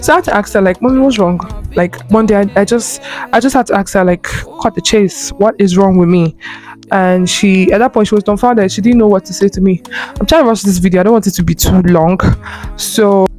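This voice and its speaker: A woman's voice: medium pitch, a little fast, darkish tone, faint music behind. Earnest young Nigerian woman telling her story. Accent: Nigerian Igbo. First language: Igbo. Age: early 20s. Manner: chatty and earnest, with frequent fillers and self-corrections. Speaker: lifestyle creator. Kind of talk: personal storytelling to camera.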